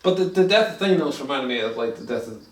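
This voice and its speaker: A man chatting casually through a movie review, rambling and halting, with frequent swearing. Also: mid-range voice, expressive. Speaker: film review host. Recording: roomy echo, distant from mic.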